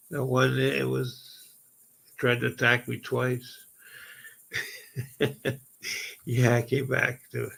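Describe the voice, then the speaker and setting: Casting: Canadian-accented male stream host, slow, gravelly, going hoarse, excitable rambler. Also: medium pitch, poor audio. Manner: rambling, excitable, exclaims often. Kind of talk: casual live stream chat.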